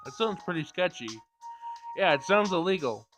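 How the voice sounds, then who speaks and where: A man's voice: high-pitched, animated, moderate pace, over music. A man giving casual gameplay commentary and reading game dialogue aloud, with blunt, sarcastic asides.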